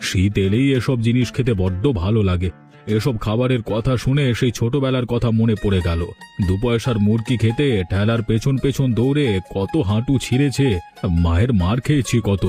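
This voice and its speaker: A man voicing a genial, nostalgic ageing village gentleman in a cartoon story. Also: medium pitch, fairly quick, soft background music, close to an everyday voice. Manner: reminiscing, genial.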